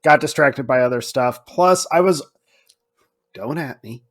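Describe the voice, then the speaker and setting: A man's voice: mid-range voice, unhurried, fairly bright tone. A man co-hosting a podcast, chatting casually with strong opinions in colloquial, emphatic language. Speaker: podcast co-host.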